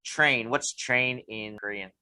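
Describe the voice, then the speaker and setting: A man's voice: medium pitch, takes it slowly, bright, forceful tone, clean tone. A man co-hosting a casual podcast, chatty and loose, steering the talk with questions. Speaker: podcast co-host. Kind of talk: casual chat.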